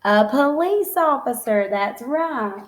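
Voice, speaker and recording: High-voiced woman reading aloud to children. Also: slow. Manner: simple child-directed phrasing, expressive, encouraging. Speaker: teacher reading a picture book to young children. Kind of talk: read-aloud storytelling with explanatory asides and questions to children.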